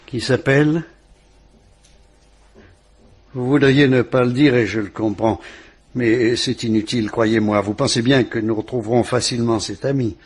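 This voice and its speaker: A man's voice: mid-range voice, steady tone, moderate pace, long pauses, husky, natural voice. An actor playing a police commissioner who questions a frightened woman in a French radio drama. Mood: brisk.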